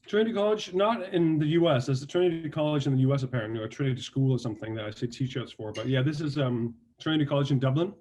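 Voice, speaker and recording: A man's voice: mid-range voice, quick pace, few pauses. A man with a Danish accent talks casually in an interview about his work. He tells stories at an easy pace, restarting sentences and adding fillers as he goes. His voice sits in a middle range. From Denmark. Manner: relaxed storytelling with many restarts and fillers. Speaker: actor and guest.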